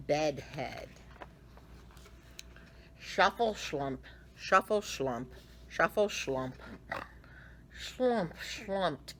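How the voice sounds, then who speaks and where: A woman reading a picture book aloud to children in a theatrical way, with dramatic emphasis and drawn-out words. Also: extremely low pitch, gravelly, fairly bright tone, a little hiss or hum. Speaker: children's story reader.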